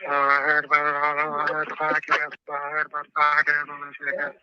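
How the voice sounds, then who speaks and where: A man's voice: fairly high pitch, monotone, brisk, pushed and bright, smooth, dry recording. A man in an informal recorded phone call, talking defensively and repetitively with slang and swearing, over a muffled line. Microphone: telephone. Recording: muffled, dry telephone line.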